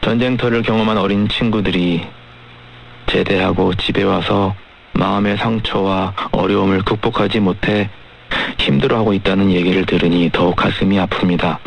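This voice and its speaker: Low, gravelly man on shortwave radio. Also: steady tone, moderate pace. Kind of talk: measured radio discussion. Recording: faintly noisy shortwave radio broadcast.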